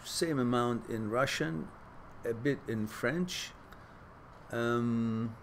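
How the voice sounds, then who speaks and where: A man's voice: mid-range voice, a little hiss or hum. Man, earnest live-chat host. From Iran. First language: Farsi. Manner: reflective, earnest, loose pauses and fillers, laughs easily. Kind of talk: casual live-stream chat and advice.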